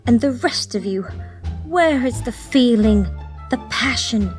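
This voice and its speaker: A woman voicing a stern elderly nun in an animated audiobook, terse and scolding as an exasperated choir director. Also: a little high, measured pace, over music, pitched above the performer's own voice. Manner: terse, scolding, calls people dear.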